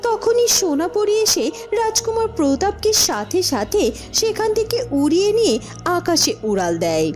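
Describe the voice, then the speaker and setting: A woman's voice: very high-pitched, big pitch swings, moderate pace, darkish tone, faint music behind. A woman narrating a cartoon fairy tale in a storytelling style.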